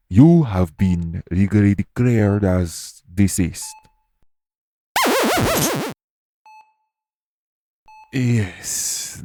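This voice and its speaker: Man acting a deep-voiced, formal secret-agency official. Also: warm tone. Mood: grave, matter-of-fact. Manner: measured, formal, matter-of-fact. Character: calm formal male official of a secret organization. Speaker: voice actor in an audio roleplay. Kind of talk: scripted audio roleplay, addressing the listener.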